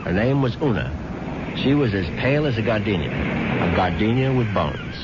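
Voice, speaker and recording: A man's voice: lowish voice, animated, moderate pace, close to an everyday voice. An actor playing a wry man telling his own life story in a radio drama. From born in London, raised in Vermont. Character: Richard Moresby, pale-eyed wry Englishman. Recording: noisy lo-fi old radio recording.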